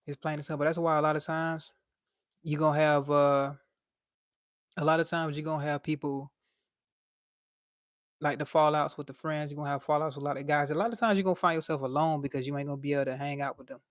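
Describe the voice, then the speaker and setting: A man's voice: a little high, little pitch movement, quick pace, long pauses, no echo, clean studio sound. A young man with a light voice, chatting casually on a livestream and reading out chat in a laid-back, slangy way.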